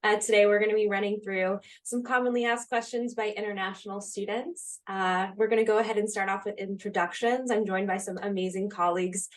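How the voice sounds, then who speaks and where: A woman's voice: medium pitch, fairly even intonation, fairly quick, hardly any pauses, distant mic. A young woman from Colorado, an admissions counselor hosting a university Q&A panel in an upbeat way, with frequent uh fillers. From Colorado, USA.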